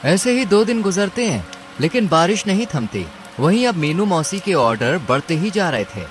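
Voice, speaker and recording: A man's voice: high-pitched, big pitch swings, normal speed, faint music behind. A man reading scripted narration for a cartoon story. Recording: clear rain and ambience noise.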